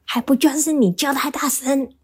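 A woman's voice: high voice, steady tone, moderate pace, darkish tone, pitched above the performer's own voice, natural voice. A woman voicing a small clever squirrel in a bedtime story, matter-of-fact and instructive. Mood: cheeky. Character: small clever squirrel, scolding but helpful teacher.

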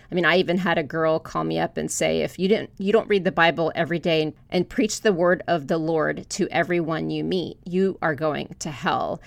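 A woman's voice: low voice, vocal fry, dry recording. A woman podcast host from Florida, earnestly sharing a personal story before posing a question to her guest. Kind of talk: podcast interview.

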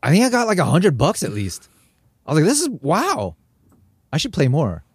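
A man's voice: a little high, normal speed, husky, no room sound, clean studio sound. A young adult man from America, chatting casually as a podcast co-host and telling stories with acted-out sounds and quotes. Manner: animated storyteller, acts out sounds and quotes. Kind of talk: casual chat.

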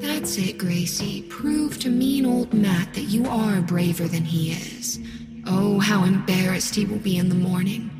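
A creepy little girl doll from a horror story, performed by a man. It wheedles in a small, sweet, high voice with a whiny edge. Long reverb and loud music surround it. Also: unhurried. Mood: coaxing. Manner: whiny, pleading. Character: sinister living girl doll, sweet, whining, coaxing.

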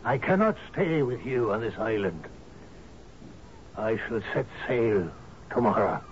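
A man's voice: mid-range voice, big pitch swings. An actor playing a sad, lonely and resolute seafaring man, courteous and formal, in a 1970s radio drama. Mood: mournful resolve. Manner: slow, formal, melancholy. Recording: dull, noisy old radio recording.

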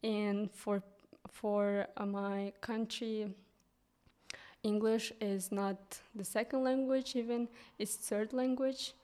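A young woman from Kyrgyzstan with a Kyrgyz accent, a guest English teacher speaking English as a third language, pausing often with ums and restarts. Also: mid-range voice, little pitch movement, warm tone. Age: young adult. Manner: hesitant, many ums and restarts. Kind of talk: casual interview.

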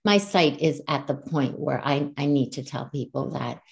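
A woman's voice: deep voice, animated, normal speed, gravelly. A woman in her sixties, born in the Czech Republic, talking earnestly and reflectively as a guest on an interview podcast, often restarting her sentences. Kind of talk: conversational interview. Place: Calgary, Canada.

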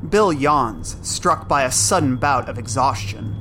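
A man reading scripted screenplay narration as a narrator, in a measured, atmospheric storytelling manner. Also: mid-range voice, animated, bright, forceful tone, a little hiss or hum. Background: music.

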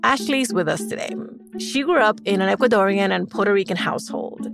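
A woman hosting, reading a calm, measured scripted introduction. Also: mid-range voice, vocal fry. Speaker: show host. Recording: voice over loud music bed.

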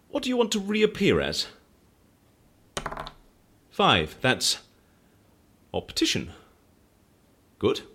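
An eccentric agency man who takes on odd clients. His voice is rough-edged and husky, with a very lively rise and fall. He mixes quaint exclamations with dry, businesslike asides in audio drama style. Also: medium pitch, heavily acted. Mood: wry, busy. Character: quirky male case handler at a disappearance agency.

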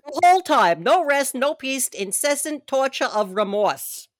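A woman's voice: high voice, expressive, measured pace, dry recording, studio quality. Woman doing a mournful chained ghost. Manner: solemn, then cursing and laughing at itself. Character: doomed chained ghost of an old businessman. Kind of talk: comic reading aloud.

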